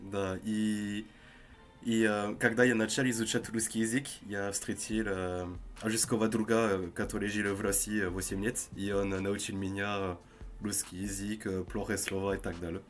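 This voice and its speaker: A man's voice: lowish voice, level tone, soft background music. A man, speaking a learned language hesitantly over a video call. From France. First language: French. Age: 20s. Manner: halting, hesitant with many fillers.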